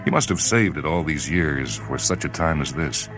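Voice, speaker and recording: Very deep-voiced man narrating dramatically. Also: normal speed, music in the background. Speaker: short story reader on a radio series. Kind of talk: dramatic scripted literary narration.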